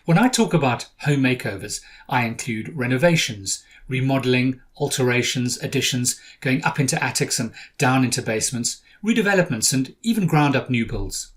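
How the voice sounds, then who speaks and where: A middle-aged man from South Africa hosting a podcast, narrating from a script with dry humor. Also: mid-range voice. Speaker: podcast host.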